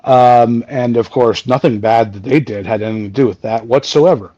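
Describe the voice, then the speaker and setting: A Canadian man in his forties with a booming voice, telling his story in a rambling way as a podcast guest. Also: moderate pace, warm tone, no echo, highs cut off. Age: 40s. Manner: rambling, many fillers and restarts. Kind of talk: casual storytelling chat. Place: home. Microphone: remote video call.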